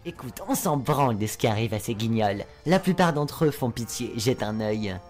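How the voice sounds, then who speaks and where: A flamboyant male spider demon, played by a woman, with a very low voice and a sarcastic, mocking drawl. He is crude and lewd, tossing out insults with lazy amusement, as in a fan-dubbed cartoon. Faint music sits behind the voice. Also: animated, normal speed, a little mellow. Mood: sneering. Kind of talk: fan dub acting.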